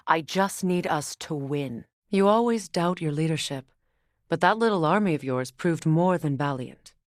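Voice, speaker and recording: A proud Norse warrior goddess from a video game, acted by a woman. She is a stern, grieving mother with a commanding, measured delivery and dry wit. The sound is dry and free of room. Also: low voice, dry recording, close to an everyday voice.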